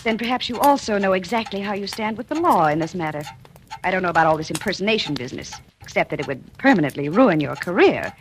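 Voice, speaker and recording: An actress in a 1940s radio drama playing a cold, scheming wife with a cool, haughty, mocking manner. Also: female voice, fairly low pitch, very animated, fast talker. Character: cold, scheming wife, middle-aged woman. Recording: old radio broadcast with faint hiss.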